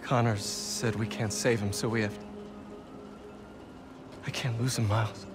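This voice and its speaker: An actor playing a weary, vulnerable American superhero in a video game, halting and subdued, full of feeling. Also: male voice, lowish voice, steady tone, over music, close to an everyday voice. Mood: grieving, despairing. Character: grieving, weary adult male superhero, vulnerable mentor. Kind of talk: video game cutscene acting.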